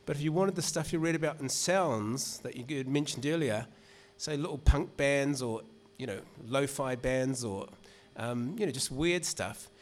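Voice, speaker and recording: A man's voice: medium pitch, normal speed, few pauses. A man with a New Zealand accent, an author telling a live audience in casual, rambling talk full of restarts. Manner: chatty and rambling, many restarts and "you know". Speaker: author reading at a literary event.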